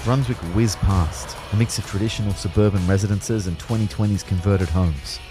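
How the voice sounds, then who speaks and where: A man's voice: fairly low pitch, moderate pace, over music, natural voice. An Australian man playing an exasperated young ghost who narrates his own audio drama. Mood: wry narrating. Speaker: actor narrating and playing the lead. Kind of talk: first-person audio drama narration and dialogue.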